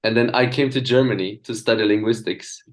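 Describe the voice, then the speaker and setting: A young man from Turkey, a native speaker of Azerbaijani and Turkish, talking as an interview guest with hesitant pauses and fillers. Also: on the low side, steady tone. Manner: hesitant, many fillers and restarts. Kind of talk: casual video-call interview.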